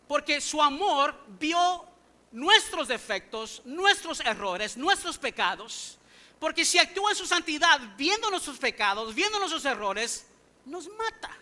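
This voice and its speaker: Man, pastor, sermon, very high voice, sharp bright tone, English-native speaker, rhetorical questions. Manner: emphatic, full of rhetorical questions to the congregation. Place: church sanctuary.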